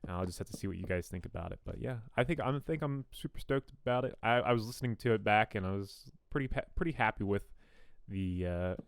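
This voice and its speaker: Deep-voiced young man, rambling. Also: no room sound. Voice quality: fairly deep radio-style voice. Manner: rambling, self-deprecating, frequent ums and restarts. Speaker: gaming YouTuber. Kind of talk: casual commentary while building in a game. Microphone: new studio condenser mic.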